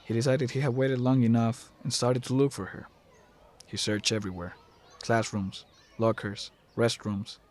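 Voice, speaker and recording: A man's voice: mid-range voice, flat delivery, normal speed, a little mellow. A man reading scripted third-person narration for a dramatic audio story.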